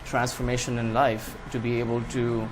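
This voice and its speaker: A man's voice: medium pitch, level tone, moderate pace, noisy background. Earnest young man from India. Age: young adult. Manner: earnest, many "you know" fillers. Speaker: guest. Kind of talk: casual interview talk.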